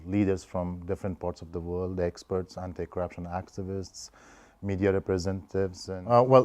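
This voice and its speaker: A young man from Afghanistan, speaking earnestly in an interview with frequent hesitant pauses about his work and training. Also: low voice, dark tone. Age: young adult. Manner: hesitant, many "uh" fillers, earnest. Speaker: anti-corruption training participant. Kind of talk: interview testimonial.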